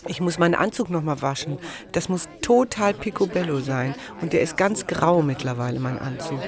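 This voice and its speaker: A woman's voice: low voice, expressive, normal speed. An actress playing a chatty, anxious young woman in a naturalistic radio drama, talking in a rambling, colloquial, self-deprecating way. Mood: nervous, chatty. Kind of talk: naturalistic improvised-sounding radio drama dialogue. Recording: busy crowd chatter around voices. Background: crowd in a bar or café.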